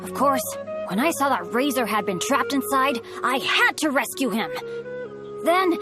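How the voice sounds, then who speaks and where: A woman voicing an earnest, excitable teenage boy adventurer in a video game, boastful yet kind, with a boyishly dramatic delivery. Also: high voice, unhurried, music in the background. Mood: boastful storytelling.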